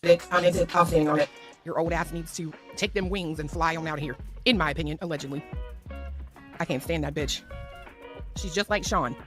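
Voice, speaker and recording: A woman's voice: low-pitched, fairly quick. A woman delivering a confrontational online rant in a brash, sarcastic, sweary manner. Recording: clip with clear background music. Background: music.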